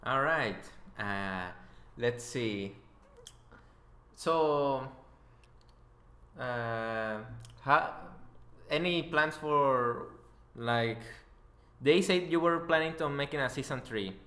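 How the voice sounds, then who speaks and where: Bright-voiced man hosting, in an echoey room. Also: mid-range voice, drawn-out words, long pauses. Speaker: podcast host, interviewer. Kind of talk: casual chat.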